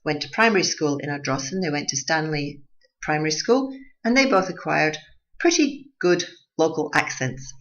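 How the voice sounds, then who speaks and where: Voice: mid-range voice, fairly bright tone, lo-fi sound. A radio presenter from Scotland with a mixed Scottish and Durham accent and some Australian touches, giving a thoughtful monologue. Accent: mixed Scottish and Durham English with Australian touches. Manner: measured, thoughtful delivery with some restarts. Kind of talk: reflective monologue on a talk radio show.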